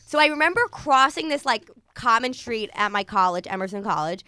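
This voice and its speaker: A young American woman chats in a bright, slightly high voice. She rambles through her story in an animated, casual way, slipping into quoted dialogue. The sound is close and dry, recorded in a studio. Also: brisk, clean tone, no room sound. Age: young adult. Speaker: podcast host. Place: podcast studio.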